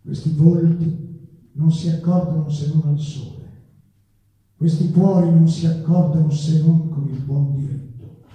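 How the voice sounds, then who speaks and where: A man's voice: fairly high pitch, little pitch movement, unhurried, mellow. A man reciting poetry on stage in a solemn, declamatory, theatrical manner. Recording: distant, echoey, dull live stage sound.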